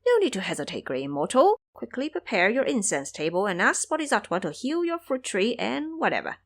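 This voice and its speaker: A woman's voice: fairly high pitch, big pitch swings, a little fast. A woman voicing a brash, cocky male monkey immortal in an audio drama, with a bossy, boastful manner.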